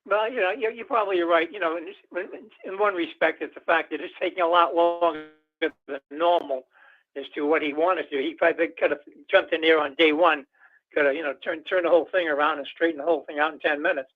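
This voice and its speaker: A man's voice: on the high side, steady tone, fast. A man calling a radio show over a phone line, chatting about politics in a rambling, folksy way full of filler phrases. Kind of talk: casual political phone-in chat. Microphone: telephone. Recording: tinny narrowband phone line.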